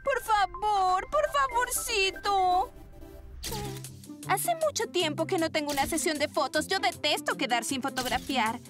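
A woman's voice: extremely high pitch, a little slow, over music. A woman voicing a vain, airheaded young cartoon model. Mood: pleading.

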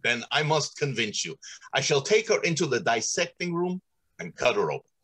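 A middle-aged man acting a confident, talkative factory manager in an old-time radio drama, with emphatic, halting explanations. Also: medium pitch, big pitch swings, bright, forceful tone, slight background noise. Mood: insistent. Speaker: actor. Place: small indoor room on a video call.

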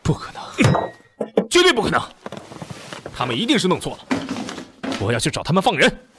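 An actor playing an earnest, loyal young army officer in a Chinese audio drama. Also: male voice, on the high side, wildly swooping pitch, fairly quick, very noisy. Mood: shocked, angry.

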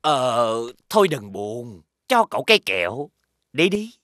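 An actor playing a scheming, flattering insect henchman in a children's cartoon, with a theatrical, wheedling delivery. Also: medium pitch, measured pace, dry recording. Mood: dismissive. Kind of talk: animated children's cartoon dubbing.

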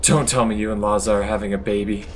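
A man plays a wry, casual spacefarer. His voice is on the low side, in an audio drama scene with faint noisy ambience. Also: level tone, fast talker, close to an everyday voice. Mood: wry, teasing. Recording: faint noise and background ambience.

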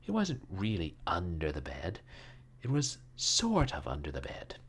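A man voicing a brusque, excitable theatrical magnate in a storybook, terse and halting over old writing. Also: sits low, slight background noise, exaggerated voice. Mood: irritated.

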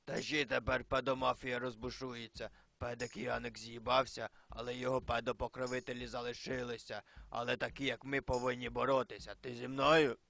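A man's voice: medium pitch, level tone, brisk, vocal fry, fairly bright tone, dry recording. A man voicing a preachy, crude homeless war veteran in an adult cartoon. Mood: preachy, gruff. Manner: preachy, crude, addresses listener as son.

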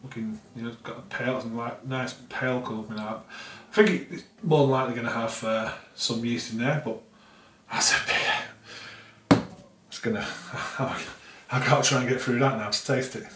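A man's voice: medium pitch, steady tone, a little fast, a bit bright, a little hiss or hum. A middle-aged man casually reviewing a beer at home in his kitchen, rambling with many fillers and repeated words. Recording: roomy, slightly distant sound with faint music.

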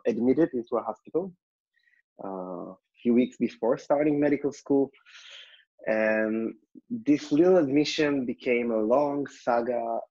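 Man, Israeli accent, medium pitch, conversational, reflective, hesitant, interview guest, dull audio. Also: a little mellow. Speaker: podcast guest, health entrepreneur and speaker. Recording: slightly dull lo-fi sound.

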